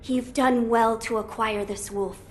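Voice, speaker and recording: An actress voices an earnest boy lord in a video game. The delivery is composed and courtly, with quiet resolve. Faint noise sits behind the voice. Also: fairly high pitch, fairly even intonation, a little slow, natural voice. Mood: thoughtful, resolute. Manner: formal, thoughtful, composed. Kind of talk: video game cutscene acting.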